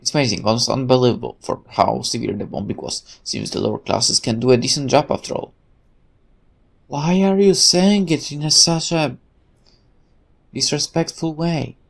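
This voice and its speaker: Very low-pitched woman reading a game aloud. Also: normal speed, vocal fry. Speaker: game player and narrator. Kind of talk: reading visual novel aloud with casual commentary.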